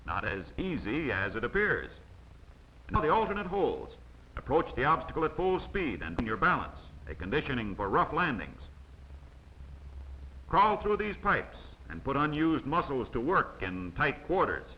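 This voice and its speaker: A man's voice: mid-range voice, very animated, normal speed. Man narrating a dull-sounding old training film. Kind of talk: scripted instructional film narration.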